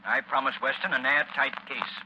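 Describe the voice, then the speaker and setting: An actor playing a shrewd, commanding vigilante in an old radio drama, speaking with crisp authority. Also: male voice, mid-range voice, fairly even intonation, moderate pace, sharp tone. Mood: resolute. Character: shrewd, commanding crime-fighting vigilante, adult man. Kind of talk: 1930s-40s radio drama acting. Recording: muffled, noisy old radio recording.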